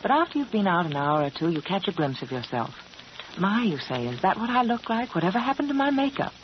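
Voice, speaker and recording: A woman's voice: mid-range voice, big pitch swings, fast. A woman reading a scripted vintage radio commercial as hostess, polished and speaking directly to the listener. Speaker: sponsor's hostess reading an advertisement. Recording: muffled, noisy old radio broadcast.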